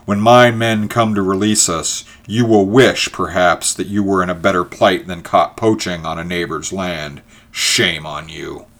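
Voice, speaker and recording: A man acts out a proud landowner from a storybook, trapped and defiant. The voice is low, with fierce, haughty indignation in every retort. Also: normal speed, a bit bright. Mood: indignant. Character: proud, fierce landowner pinned down, defying a poacher.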